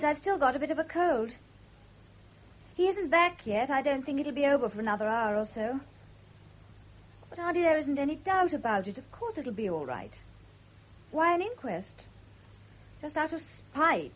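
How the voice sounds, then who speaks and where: High, girlish young British wife. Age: young adult. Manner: girlish, eager, artless. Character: naive, girlish, sweet-natured young wife. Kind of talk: 1970s radio drama acting. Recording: dull lo-fi old radio recording.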